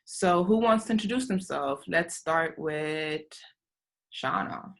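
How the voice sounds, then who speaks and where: A woman's voice: deep voice, slow pace, gravelly. A woman hosting a group discussion on a video call, welcoming guests and asking questions. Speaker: host.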